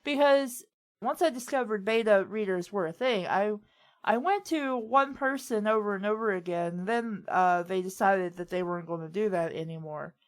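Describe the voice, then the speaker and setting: A woman's voice: mid-range voice, moderate pace. A woman hosting a casual chat and giving advice in a conversational, rambling way, often acting out what others say. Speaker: host of a writing advice talk.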